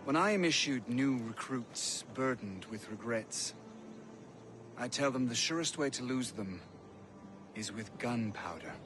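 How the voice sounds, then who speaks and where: An actor playing a stern but courteous middle-aged colonel in the British army, with formal, measured speech in a game cutscene. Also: male voice, medium pitch, expressive, music in the background, lightly acted. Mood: grave. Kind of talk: video game cutscene acting. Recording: clear background noise.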